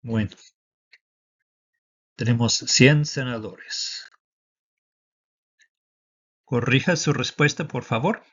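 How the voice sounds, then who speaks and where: A man's voice: medium pitch, slow, pauses often, fairly bright tone, slightly rough, lo-fi sound. A man teaching a lesson for the citizenship test, dictating patiently and switching between Spanish and English.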